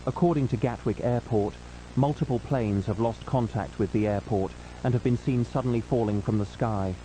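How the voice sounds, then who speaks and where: A man's voice: mid-range voice, moderate pace, dark tone, slight background noise, poor audio. A man reading a scripted emergency news announcement in a formal, solemn manner as a broadcast announcer.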